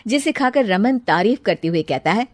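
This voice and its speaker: A woman's voice: medium pitch, expressive, normal speed, no echo. A woman reading scripted story narration.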